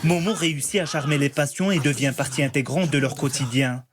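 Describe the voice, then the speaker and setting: A man reporter narrating a news feature about a film in a steady delivery. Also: fairly high pitch, fairly quick. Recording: voice-over with loud music behind.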